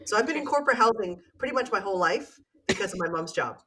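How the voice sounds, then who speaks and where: A woman's voice: medium pitch, animated, quick pace, background noise. A woman in her forties from Canada, a guest on a podcast, telling her story openly in casual conversation. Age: 40s. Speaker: podcast guest, real estate investor. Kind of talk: casual interview chat.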